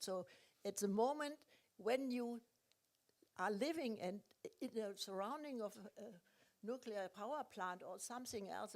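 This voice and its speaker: A woman's voice: mid-range voice, a little mellow. Insistent German-accented woman moderator. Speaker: panel moderator. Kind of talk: impromptu advice to an audience. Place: indoor conference.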